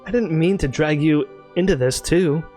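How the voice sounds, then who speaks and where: A man's voice: a little high, moderate pace, warm tone, soft background music. A man doing let's play commentary, reading game dialogue aloud in a playful, theatrical way with off-the-cuff asides.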